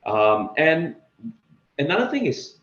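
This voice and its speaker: A man from Singapore with a Singaporean accent, talking casually as an interview guest in a halting way, often repeating words. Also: a little high, very animated, fairly bright tone. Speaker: interview guest, real estate investor. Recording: echoey room, slightly dull sound.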